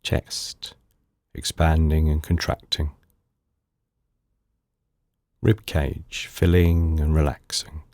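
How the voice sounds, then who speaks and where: Super deep male voice doing guided meditation, slow soothing phrases w pauses, really dry sound. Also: dry recording. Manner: calm, unhurried, soothing instruction in short phrases with pauses. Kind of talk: guided body scan meditation.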